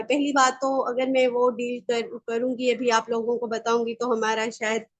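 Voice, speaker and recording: A woman's voice: fairly high pitch, poor audio. A woman lecturing informally, reading out passages and explaining them, mixing in English terms and often checking that listeners follow. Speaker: law lecturer.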